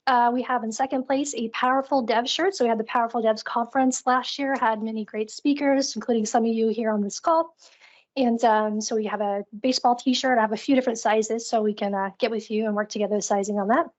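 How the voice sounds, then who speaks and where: A woman's voice: on the high side, fairly even intonation, brisk. A woman co-hosting an online call, presenting a live screen-share demo in a casual, upbeat, chatty way. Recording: dull, lo-fi online call audio.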